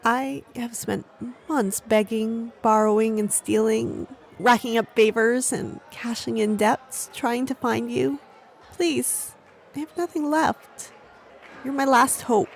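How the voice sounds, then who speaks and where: A woman's voice: a little high, steady tone, slow pace, vocal fry. A woman acting a desperate, earnest street girl of about thirteen in a fantasy audio drama. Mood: desperate, pleading. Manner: pleading, earnest.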